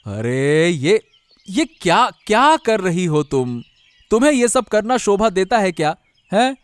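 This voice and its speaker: A man's voice: high voice, sing-song, unhurried, smooth, dry recording. A man voicing a kind, devoted young husband in a cartoon story, earnest and a little hesitant. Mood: concerned. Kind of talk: Hindi cartoon story voice acting.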